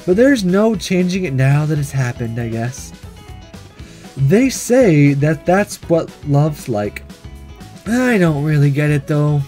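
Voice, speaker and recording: A man's voice: a little high, sing-song, slow pace, warm tone, soft background music. A man voicing a sleepy, detached schoolgirl who narrates a visual novel in a weary, blunt, matter-of-fact way. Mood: mildly annoyed.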